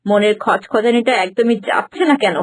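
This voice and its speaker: A woman's voice: fairly high pitch, normal speed, natural voice. A woman voicing a cruel, scheming middle-aged stepmother in a cartoon, venomous and gloating as she talks to herself. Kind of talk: cartoon voice acting. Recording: music bed underneath.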